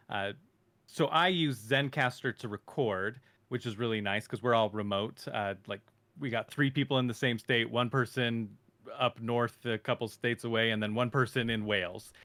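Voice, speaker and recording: A man talking casually with fellow podcasters, his sentences loose and full of "like" and "uh". He sounds relaxed and conversational, circling around his point. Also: mid-range voice. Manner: filler-heavy, with many "like" and "uh". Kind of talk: casual roundtable chat.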